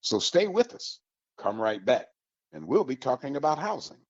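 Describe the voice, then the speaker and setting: Husky man hosting community radio. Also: medium pitch, very animated, moderate pace, no room sound, muffled recording. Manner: folksy, upbeat promotional delivery. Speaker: radio host.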